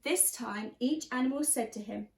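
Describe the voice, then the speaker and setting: A woman reading a children's story aloud like a teacher, expressively and deliberately, stressing key words. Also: high voice, creaky. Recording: roomy, echoey sound.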